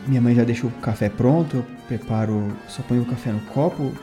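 A man playing a tabletop game, calmly narrating his boy character's morning in the first person. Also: medium pitch, a little fast, music in the background. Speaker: tabletop player.

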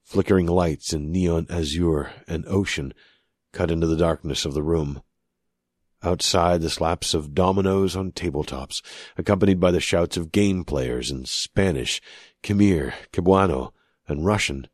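A man's voice: low voice, a little slow, dry recording, clean studio sound. A man narrating scripted fiction as an audiobook reader.

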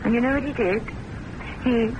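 A woman's voice: moderate pace, close to an everyday voice. Actress as an intense, insistent American woman, noisy radio. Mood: bitter, defensive. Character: intense, possessive woman, insistent and romantically obsessed. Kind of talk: radio mystery drama acting. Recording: noisy old radio broadcast with rumble.

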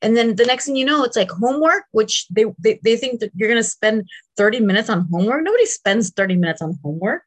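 A woman in her forties, a native Spanish speaker, co-hosting a podcast in a jokey, animated way and laughing often. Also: on the high side, fast, hardly any pauses. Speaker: podcast co-host. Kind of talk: casual chat.

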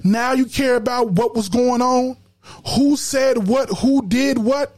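High-pitched woman, emphatic podcast host. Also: fairly even intonation, takes it slowly, darkish tone. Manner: emphatic, preachy, repeats words when fired up; colloquial slang. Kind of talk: casual podcast discussion.

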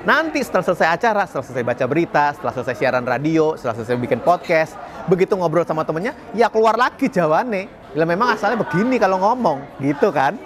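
A man's voice: a little high, big pitch swings, quick pace, a crowd in the background. A man giving a chatty, upbeat talk to camera as a public speaking coach, laughing now and then at his own slips. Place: outdoors in a city.

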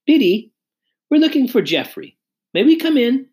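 A woman voicing a haughty, agitated mother in a storybook, with a sharp, imperious manner. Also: sits high, very animated, normal speed, pitched above the performer's own voice. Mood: imperious, angry. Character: haughty, frantic, shouting upper-class mother.

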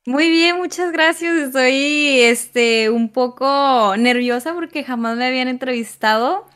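A woman's voice: sits high, a little slow, fairly bright tone, clean tone. Hesitant young woman guest. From Mexico. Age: 20s. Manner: hesitant, many fillers and restarts. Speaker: content creator guest. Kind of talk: casual interview answers.

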